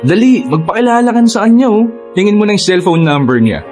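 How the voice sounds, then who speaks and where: Friendly young Filipino college lad, boyish and teasing, swooping delivery, soft music behind. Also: male voice, high voice, animated, fast. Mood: teasing, urging. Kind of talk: Filipino radio drama acting.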